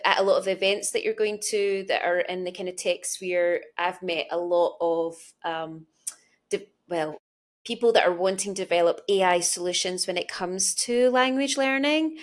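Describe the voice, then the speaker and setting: Female Glaswegian interviewer, medium pitch, talkative and explanatory in an informal interview. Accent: Glasgow Scottish. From Scotland. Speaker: podcast host and interviewer. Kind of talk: conversational interview.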